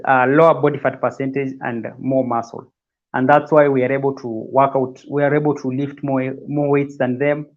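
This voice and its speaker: A man's voice: medium pitch, a little mellow, lo-fi sound. A man from Kenya, a guest on a fitness livestream, talking casually and often repeating words as he explains.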